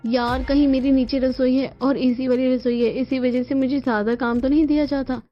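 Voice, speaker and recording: A woman's voice: sits high, level tone, normal speed, lo-fi sound, lightly acted. A woman voicing a resentful young daughter-in-law in a cartoon family drama, muttering complaints about being worn out. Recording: band-limited audio with music behind.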